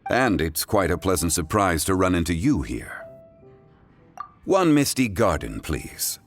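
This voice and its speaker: An actor playing a calm, erudite, old-fashioned gentleman in a video game, speaking in a formal, deliberate way. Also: deep voice, full character voice. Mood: courteous, composed. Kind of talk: scripted video game voice acting. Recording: game audio with music behind.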